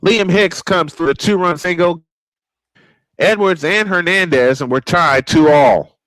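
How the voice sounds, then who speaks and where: A man calling live baseball play-by-play, excitable and prone to repeating his points. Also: fairly high pitch, no echo, low-quality recording. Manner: excitable, repeats points, quick calls of action. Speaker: play-by-play announcer. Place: home sports studio.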